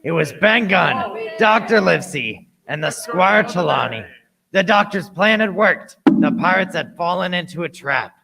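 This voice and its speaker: A man's voice: sits high, lively intonation, measured pace, vocal fry, a crowd in the background, heavily acted. A man playing an earnest adventurer who narrates his tale in a radio play with dramatic storytelling. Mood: earnest, recounting. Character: earnest grown Jim Hawkins recalling his boyhood adventure.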